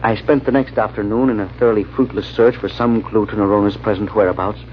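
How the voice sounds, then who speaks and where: An actor playing an earnest, wry amateur sleuth, measured and dryly humorous, in an old-time radio mystery. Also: male voice, lowish voice, steady tone, close to an everyday voice. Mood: brooding, reflective. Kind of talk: old-time radio drama narration and acting. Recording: muffled old radio recording, faint hiss.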